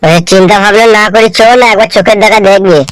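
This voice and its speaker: A man playing a rough, cocky cartoon thug sidekick, coarse and teasing. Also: sits high, fairly even intonation, fast, fairly bright tone. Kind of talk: comedy cartoon voice-over. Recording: music under the voice.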